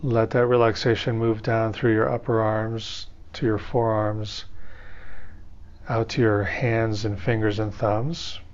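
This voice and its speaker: A man's voice: on the low side, little pitch movement. Man guiding meditation, slightly dull recording. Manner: unhurried step-by-step instruction with pauses. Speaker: guided meditation teacher. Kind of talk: guided meditation instruction. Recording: slightly dull, band-limited audio.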